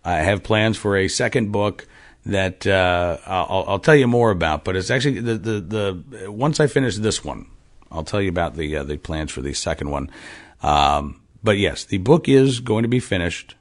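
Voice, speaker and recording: Guy in his 40s, really low voice, rambly podcast host, lots of uh's and restarts. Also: expressive, normal speed. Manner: rambling, frequent fillers and restarts. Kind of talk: casual monologue. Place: farm.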